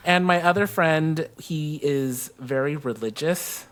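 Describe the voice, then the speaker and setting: A middle-aged man with an American accent, telling personal stories conversationally in an animated manner. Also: a little high, slow pace. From South Texas, USA. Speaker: guest co-host.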